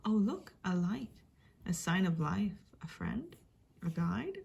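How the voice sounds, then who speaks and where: A woman storyteller giving a dramatic reading of a rhyming picture book, expressive, with hushed and emphatic passages. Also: mid-range voice, slow pace, gravelly, breathy edge, highs cut off. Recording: faint background noise.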